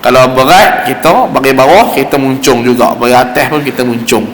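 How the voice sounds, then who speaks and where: A man's voice: medium pitch, a bit bright. Echoey Malaysian man teaching Quran recitation. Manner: explanatory, repeats sounds slowly to demonstrate. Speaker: religious teacher giving Quran recitation class. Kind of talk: informal instruction with demonstrated Arabic recitation. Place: mosque hall. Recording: roomy echoing hall, faint background noise.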